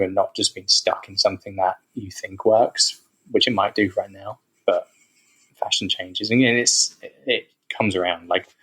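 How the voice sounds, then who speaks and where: A low-voiced man chatting easily as a podcast guest. He rambles in a relaxed way, with frequent fillers and restarts. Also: breathy edge, poor audio. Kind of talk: casual interview chat.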